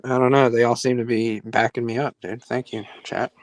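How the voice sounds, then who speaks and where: A man's voice: mid-range voice, level tone, brisk, no echo, low-quality recording. Man with a mid-range, close dry sound. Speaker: livestream panel member. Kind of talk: casual chat.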